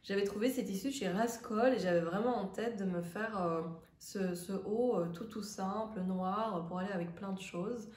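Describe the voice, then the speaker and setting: A woman with a stuffy nose from a cold, chatting casually as a sewing vlogger and pausing often with fillers. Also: mid-range voice, a little mellow. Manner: chatty, hesitant with many fillers. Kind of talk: casual vlog chat. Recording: roomy, distant from the mic.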